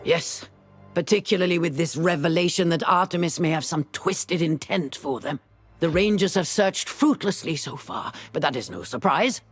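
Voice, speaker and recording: An actor of unclear sex playing a grave, learned leader in a fantasy video game, formal and measured. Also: mid-range voice, lively intonation, breathy edge, no room sound, faint music behind. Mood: concerned, advising. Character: grave, learned leader worried for a threatened region. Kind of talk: dramatic video game character acting.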